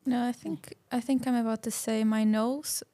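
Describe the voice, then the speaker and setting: Soft, mellow-voiced young woman. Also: fairly high pitch, fairly even intonation, moderate pace. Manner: shy, brief answers. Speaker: student guest. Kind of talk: casual chat.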